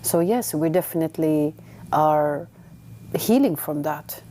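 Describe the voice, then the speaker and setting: A woman's voice: low voice, a little slow, mellow, slight background noise. A woman born in Afghanistan, a humanitarian guest answering interview questions in a confident, upbeat way. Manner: confident, upbeat, laughs readily.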